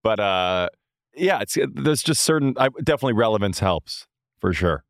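A young man with a deep, resonant baritone, talking loosely and restarting his sentences often. The voice sits low and sounds close and dry, with almost no room around it. He chats in a relaxed, offhand way. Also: no echo. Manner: halting, many restarts. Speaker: guest, impressionist. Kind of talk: casual chat.

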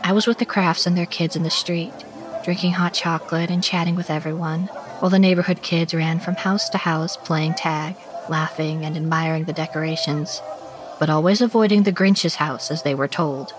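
A woman playing an anxious suburban narrator, telling a horror story in a measured, dramatic first-person style over music. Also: deep voice, steady tone, natural voice, poor audio. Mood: tense, reflective. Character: anxious suburban woman recounting a frightening Christmas night. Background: background music.

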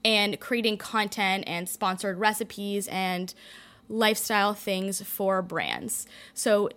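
A woman's voice: medium pitch, lively intonation, a bit bright. Chatty young Canadian woman. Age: young adult. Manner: chatty, quick, lots of likes and ums. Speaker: guest. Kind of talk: casual podcast chat. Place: indoor studio in a building.

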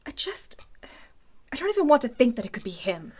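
A woman's voice: sits high, sing-song, a little fast, breathy edge, heavily acted. An actress playing a defensive, uneasy woman caught up in a radio murder mystery, with dramatic delivery. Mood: hushed, defensive. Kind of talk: radio mystery drama in Golden Age manner.

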